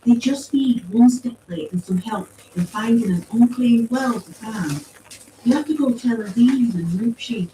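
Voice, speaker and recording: A woman's voice: a little high, unhurried, warm tone, pitched above the performer's own voice, close to an everyday voice. A woman voicing an earnest, insistent woman soldier in an audiobook story. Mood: urgent, pleading. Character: earnest, pleading, indignant woman soldier on a mission. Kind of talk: acted audiobook dialogue. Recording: roomy, distant pickup with faint noise.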